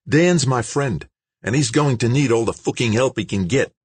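A man's voice: medium pitch, moderate pace, husky, dry recording, pitched above the performer's own voice, close to an everyday voice. A man voicing a big, stubborn, hot-tempered, foul-mouthed Australian man in a novel, blunt and insistent.

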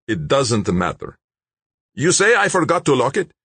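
A narrator puts on a menacing male gunman from a thriller audiobook. He is bossy and agitated, barking in a raised, husky voice with big swings of pitch. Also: sing-song, moderate pace, fairly bright tone, dry recording, heavily acted. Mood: commanding.

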